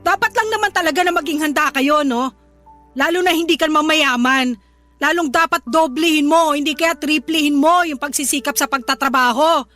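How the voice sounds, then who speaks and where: A woman acting in a radio drama as a scornful, nagging older mother-in-law with a sharp, sarcastic, scolding manner. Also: high voice, normal speed, soft background music. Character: scornful, nagging older mother-in-law who belittles her daughter's partner.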